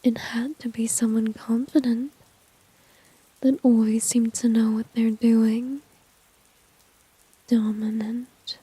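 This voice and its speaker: A woman acting a confident, flirty, dominant villain in an ASMR roleplay, whispering softly and teasingly with smug self-assurance. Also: a little high, exaggerated voice, rough recording. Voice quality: breathy, soft whisper. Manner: slow, teasing, smug, coaxing.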